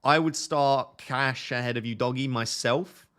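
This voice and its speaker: A young man hosting a fantasy football live stream, reading viewer questions aloud and answering them in a relaxed, soothing voice. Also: medium pitch, a little slow, smooth. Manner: self-described relaxing, soothing voice. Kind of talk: casual live stream chat.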